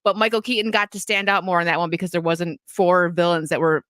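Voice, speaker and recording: Upstate New York woman, stream host, bright and crisp, giggly, dry close mic. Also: on the low side, little pitch movement, fast, dry recording. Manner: giggly, chatty, laughs often. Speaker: livestream host. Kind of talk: casual livestream chat.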